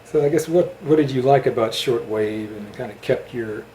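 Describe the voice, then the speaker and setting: Middle-aged man, American accent, casual interviewer, medium pitch, roomy echo, faint noise. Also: moderate pace, dark tone. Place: indoor room at a home.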